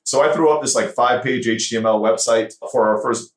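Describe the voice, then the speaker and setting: A man born in the Philippines and raised in Turkey, a guest on a podcast, telling his story in casual, animated interview talk. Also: mid-range voice, a little fast. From born in the Philippines, grew up in Turkey. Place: home office in Mexico. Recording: echoey room.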